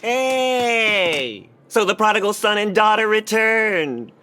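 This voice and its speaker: A crazy-seeming old Chinese man who is secretly an all-knowing party official. The voice is bright and sharp, swinging widely in pitch, with unhurried, theatrical and cryptic delivery for an audio play. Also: expressive, a little hiss or hum. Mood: sly, welcoming. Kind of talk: modern audio play acting.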